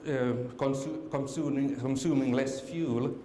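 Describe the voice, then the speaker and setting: A middle-aged man from Finland gives a conference talk, stopping often with ums and repeated words. His mid-pitched voice rings around a large echoey hall. A faint hiss sits under the speech. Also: steady tone, unhurried, warm tone. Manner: hesitant, many fillers and restarts. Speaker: conference speaker. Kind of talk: conference presentation.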